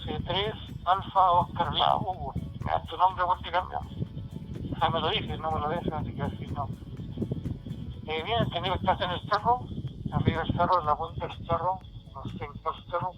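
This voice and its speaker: A man's voice: a little high, expressive, moderate pace. A man chatting casually as an amateur radio operator, heard through a digital two-way radio. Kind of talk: casual ham radio exchange. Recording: muffled radio transmission under loud music.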